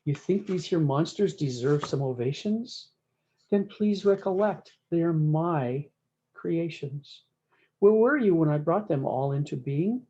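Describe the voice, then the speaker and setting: A woman storyteller plays a mighty cowboy God from a storybook, stern and boastful. The questions come as folksy, commanding rhymes in a mellow tone, on a slightly dull recording. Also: deep voice, animated, measured pace. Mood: stern, challenging.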